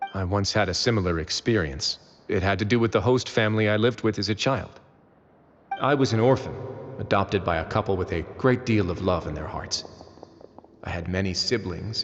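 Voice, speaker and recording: A man acting a composed, wry adult male warden in a video game, speaking in a measured, matter-of-fact way. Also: on the low side, long reverb tail, dull audio, close to an everyday voice.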